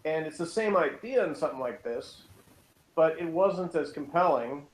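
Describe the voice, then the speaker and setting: A man's voice: a little high, lively intonation, moderate pace. A man casually reviewing in conversation, opinionated and sarcastic, prone to ranting. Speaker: podcast review host. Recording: roomy, echoing sound.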